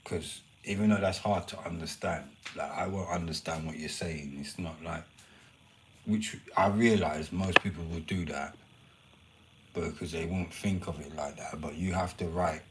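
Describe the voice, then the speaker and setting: A man's voice: low-pitched, fairly even intonation, moderate pace, background noise. A man casually chatting and rambling to his viewers on a live stream, swearing now and then. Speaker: livestreamer.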